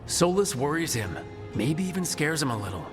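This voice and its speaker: A man's voice: fairly high pitch, big pitch swings, normal speed, music in the background. A man playing a determined, decisive team leader in a fantasy video game. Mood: resolute.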